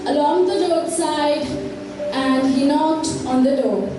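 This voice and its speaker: A woman's voice: sits high, slow pace. A woman giving scripted narration for a stage play. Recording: very echoey hall, noisy.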